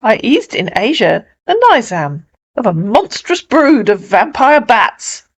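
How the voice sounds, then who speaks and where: Woman acting mysterious storybook piper, English accent, courteous, boastful, lilting varied pitch. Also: sing-song, slow, breathy edge, exaggerated voice. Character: tall, thin, mysterious male piper with secret charm.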